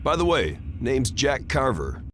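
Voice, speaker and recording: A man's voice: mid-range voice, wildly swooping pitch, unhurried, background noise. A man playing a wary, sardonic action hero in a video game, speaking tersely and casually. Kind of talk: video game cutscene acting.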